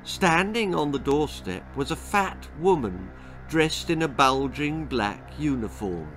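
A man's voice: fairly high pitch, big pitch swings, measured pace, a bit bright, soft background music, rough recording. An older man in his sixties or beyond with an English accent, narrating a children's story expressively and with dramatic relish. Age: 60s or older. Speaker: author reading his story. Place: small book-lined room indoors.

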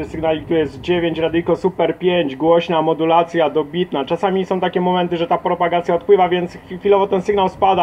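Male radio operator, higher-than-average voice, casual CB exchange, dull sound with faint noise. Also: darkish tone.

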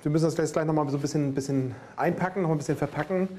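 A man's voice: on the high side, rapid-fire, a little mellow, slight background noise. A male nurse with a Berlin accent, chatting and joking with patients at the bedside. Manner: chatty, joking, tags phrases with "ne?". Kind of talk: friendly bedside chat with patients. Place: hospital emergency department.

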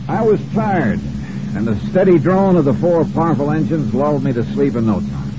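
An American actor playing a hard-boiled insurance investigator who narrates in a wry, clipped, conversational way, in 1950s radio detective style. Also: male voice, fairly high pitch, big pitch swings, moderate pace, very noisy. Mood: wry, matter-of-fact. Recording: dull vintage radio recording with music.

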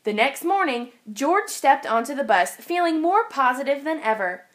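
Animated, high-pitched woman reading a storybook. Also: normal speed. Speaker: storybook reader. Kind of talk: read-aloud of a children's picture book.

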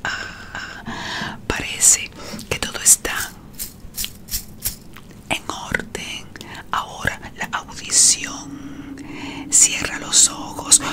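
Slow, whispering middle-aged woman in ASMR roleplay. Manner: slow, hushed, soothing, theatrical. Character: playful vampire doctor giving a nerve exam. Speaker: ASMR performer. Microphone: close studio microphone on desk.

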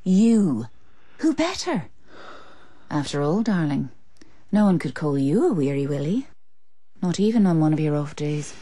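A woman's voice: low voice, very animated, unhurried, long pauses, dark tone, no room sound, full character voice. A woman with an English accent playing a scheming, seductive mistress in a radio drama, intimate, teasing and persuasive. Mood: coaxing, scornful.

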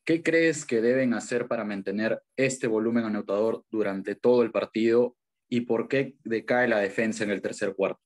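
A man's voice: on the low side, moderate pace, a little mellow. A male reporter from Peru asking a direct, prepared question at a press conference.

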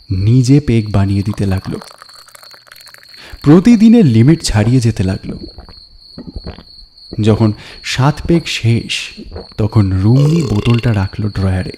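A man narrating a crime story in a measured, suspenseful, dramatic storytelling style. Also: lowish voice, dark tone, slight background noise. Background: background music.

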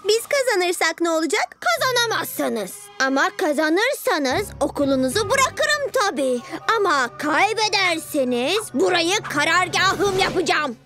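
A woman's voice: very high-pitched, big pitch swings, unhurried, few pauses, heavily acted. A woman voicing a boastful, scheming young boy ninja villain in a children's cartoon dub, with a pompous, taunting delivery. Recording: music behind the voice.

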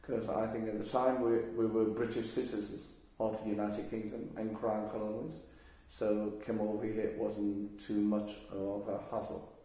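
A man's voice: lowish voice, flat delivery, moderate pace, hardly any pauses, mellow. A man, answering oral history questions in a hesitant way, with many fillers and restarts. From Hong Kong. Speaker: interviewee. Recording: very echoey room.

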